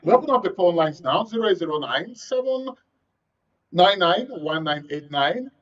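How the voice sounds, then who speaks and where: A Nigerian man presents a live radio phone-in. His voice sits a little high for a man. The recording is dull, as if slightly away from the microphone. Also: animated, normal speed. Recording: dull studio sound, slightly distant.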